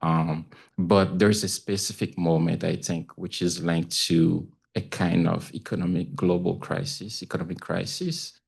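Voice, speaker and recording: A man with a Haitian accent speaking as a panelist about his own experience, haltingly and searching for words. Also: low voice, steady tone, gravelly, husky. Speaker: panelist, community news correspondent. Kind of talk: panel talk, personal account.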